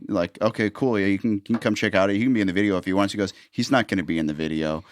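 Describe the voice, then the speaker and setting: A man hosting a podcast, telling relaxed anecdotes and acting out the dialogue in them. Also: fairly low pitch, rapid-fire, no room sound, clean studio sound. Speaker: podcast host. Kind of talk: casual podcast chat.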